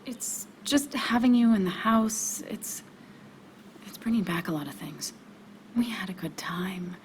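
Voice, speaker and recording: An actress playing an emotionally raw but determined young woman in a video game, speaking in a halting, confessional way. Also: mid-range voice, a little hiss or hum, lightly acted. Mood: defensive, upset. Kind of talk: naturalistic video game acting.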